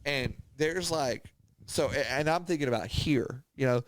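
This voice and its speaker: A man hosts a casual chat in a close, dry-sounding space. He tells anecdotes in an animated way, slipping into quoted reactions and salty language. His pitch sits in an ordinary middle range. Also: normal speed, no echo, poor audio. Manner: animated retelling with acted-out quotes, swearing. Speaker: podcast host.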